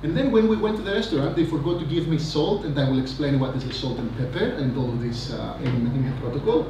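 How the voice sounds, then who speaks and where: A man giving a rambling, energetic conference talk on stage, full of fillers and asides. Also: on the high side, quick pace. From Greece. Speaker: conference speaker. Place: large conference hall. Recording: echoey hall, mic from a distance, noisy.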